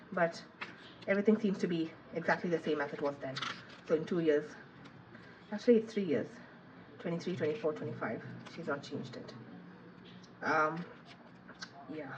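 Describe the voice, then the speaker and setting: A low-voiced woman, a little stuffy from a cold, chatting her way through an unboxing review. She thinks aloud, trails off and fills gaps with ums. The recording is muffled and lo-fi, with a faint hiss behind her. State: has a cold, sniffling. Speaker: planner reviewer.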